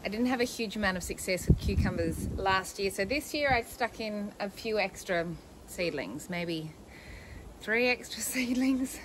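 A woman's voice: medium pitch, a little slow, background noise. Chatty Australian woman touring her garden. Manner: chatty and relaxed, with fillers and small laughs. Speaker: gardening vlogger. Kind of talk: casual walk-through garden tour. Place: backyard vegetable garden, outdoors.

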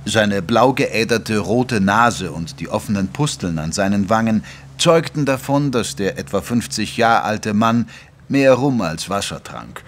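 An actor playing an earnest gentleman doctor who narrates a German audio drama in a measured, literary way. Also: lowish voice. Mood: dry, composed. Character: earnest gentleman doctor narrating his detective friend's case.

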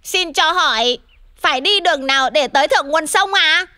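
A woman's voice: high-pitched, expressive, normal speed, noisy background. A woman voicing a small, bold, boastful monster in a children's cartoon. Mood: determined. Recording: music under the voice.

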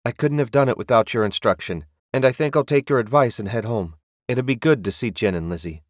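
A man's voice: mid-range voice, fairly quick, no room sound, clean studio sound, natural voice. A man voicing an earnest, modest young male sorcerer with a plain, polite manner. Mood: respectful, grateful.

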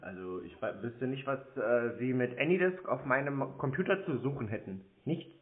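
A man's voice: medium pitch, lively intonation, normal speed. Terse, dry man on a dull line. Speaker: scam-baiter taking scam calls. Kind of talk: casual phone conversation. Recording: dull lo-fi phone call audio.

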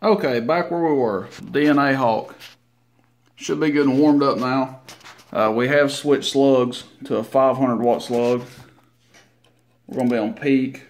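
Folksy man, casual demo. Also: mid-range voice. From Tennessee, United States. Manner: folksy, unhurried, informal. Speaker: CB radio hobbyist presenting his equipment. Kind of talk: casual hands-on demo narration. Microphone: handheld camera mic, operator behind it.

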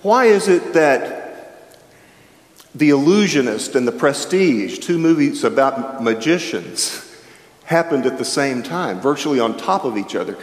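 A man's voice: medium pitch, unhurried. An older man giving a reflective chapel sermon at a lectern, gesturing widely as he talks. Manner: reflective, animated with wide gestures, shifting pace. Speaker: chapel speaker. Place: large chapel with an audience. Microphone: stand microphone at a lectern. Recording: echoey chapel heard from far off.

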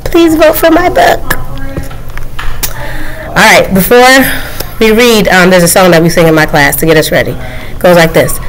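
A woman teacher reading a picture book aloud to children, gentle and questioning. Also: fairly low pitch, a little hiss or hum, low-quality recording.

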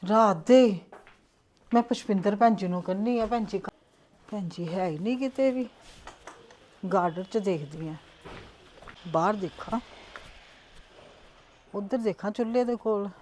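A woman's voice: medium pitch, unhurried, pauses often, a little mellow, a little hiss or hum, natural voice. A woman playing an aunt anxiously searching the house and calling out for a missing relative. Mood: worried, muttering. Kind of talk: amateur drama acting.

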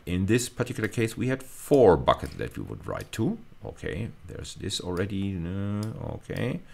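A man's voice: deep voice, vocal fry, darkish tone. A middle-aged man giving an explanatory recorded lecture, working methodically step by step and repeating key phrases for emphasis. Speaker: university lecturer.

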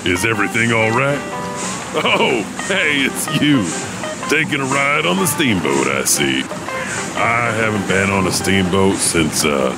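An actor playing an eerie, chatty janitor who hosts horror tales, rambling in a folksy, darkly playful manner. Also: male voice, fairly low pitch, very animated, unhurried, vocal fry, full character voice. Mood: rambling, genial. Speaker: horror anthology host. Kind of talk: in-character horror audio drama narration. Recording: voice under loud guitar music.

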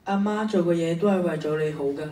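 A male student with a Hong Kong Cantonese accent playing a calm, gentle father in a radio drama. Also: on the high side, fast talker, close to an everyday voice. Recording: echoey stage, distant mic, faint noise.

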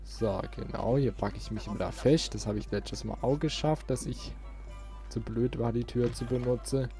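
A man's voice: medium pitch, moderate pace, dark tone, faint music behind. A man chatting informally as he hosts a let's play, thinking aloud while he plays. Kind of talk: casual gaming commentary.

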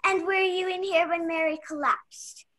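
A young woman playing a brisk, bossy investigator in a mystery radio play, formal and officious. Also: very high voice, steady tone, bright, forceful tone. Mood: stern. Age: young adult. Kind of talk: stage-style mystery radio play acting.

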